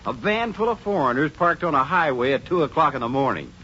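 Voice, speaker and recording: A muffled old radio western features a dismissive small-town sheriff. He sounds sharp and blustery, with animated, brusque, condescending delivery. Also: on the high side, a little fast, slight background noise. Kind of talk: 1950s radio western acting.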